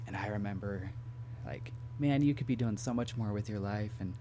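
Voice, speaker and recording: A hoarse man hosting a podcast from home, talking casually and reflectively and asking questions. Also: lowish voice, moderate pace, background noise. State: hoarse, clearing his throat. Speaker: podcast host. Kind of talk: casual conversation.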